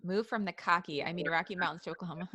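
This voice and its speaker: A woman's voice: on the low side, fast talker, pushed and bright, breathy edge. A woman from Detroit co-hosting a live podcast, chatting casually with bawdy jokes and frequent laughter. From Detroit, USA. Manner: giggly, bawdy banter. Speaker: podcast co-host.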